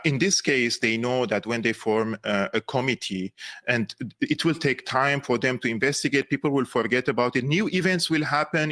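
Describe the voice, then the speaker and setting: A man's voice: medium pitch, a bit bright. A man with a Syrian accent, a guest in a political interview, speaking earnestly and emphatically with frequent restarts. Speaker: guest commentator.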